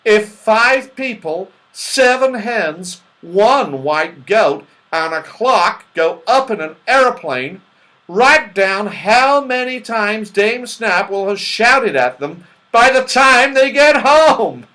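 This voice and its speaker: A bold, cheeky fairy-tale moon man, acted out by a man. He declaims loudly in a high, swooping voice and draws each phrase out slowly. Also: lively intonation, full character voice. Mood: loud, teasing. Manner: loud, drawn-out, declamatory.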